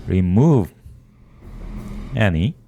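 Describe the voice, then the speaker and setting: A man giving halting, casual commentary as a gamer during a playthrough. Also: deep voice, very animated, vocal fry, mellow. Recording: game music behind the voice.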